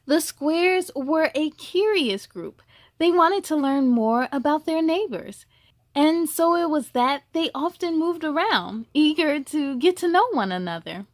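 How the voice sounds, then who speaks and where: A woman reading a children's story aloud as host, expressive and exclamatory, speaking to young listeners. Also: high-pitched, no echo, studio quality. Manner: slow, deliberate, exclamatory delivery for children.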